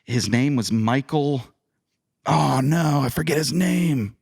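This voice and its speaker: Man, podcast guest, animated swings in pitch, emphatic, repetitive, casual chat. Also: slow pace, creaky, slightly rough. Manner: emphatic, repeats himself for effect.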